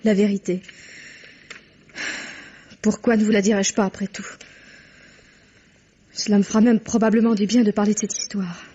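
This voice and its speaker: A woman's voice: medium pitch, level tone, moderate pace, pauses often, dark tone, husky, dull audio, lightly acted. An actress playing a frank, defensive young woman burdened by guilt, speaking in an earnest, dramatic old radio mystery style. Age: young adult. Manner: earnest, dramatic confessional delivery.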